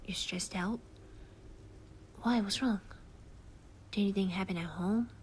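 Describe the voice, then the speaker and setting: A woman voicing a gentle young boy who comforts his best friend in an ASMR roleplay, speaking softly and often in a whisper. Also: medium pitch, measured pace, a little hiss or hum, natural voice. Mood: soothing. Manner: soft, soothing, often whispered.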